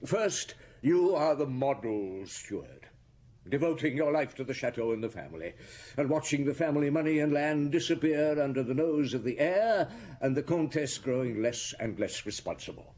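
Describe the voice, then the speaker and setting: A male actor playing a shrewd, probing chief inspector in a radio drama, deliberate and sardonic, with a husky voice. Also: medium pitch, animated, a little mellow, natural voice. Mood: measured probing. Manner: deliberate, theatrical, sardonic interrogator. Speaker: detective.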